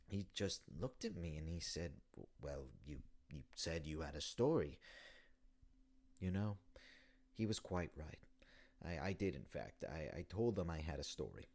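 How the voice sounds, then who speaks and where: A man voicing an elderly, melancholy hippopotamus from a video game, stammering and rambling on slowly and wistfully. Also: very deep voice, sing-song, darkish tone, heavily acted. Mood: nostalgic, musing. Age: older. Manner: hesitant, stammering, long-winded rambling. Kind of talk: video game character monologue.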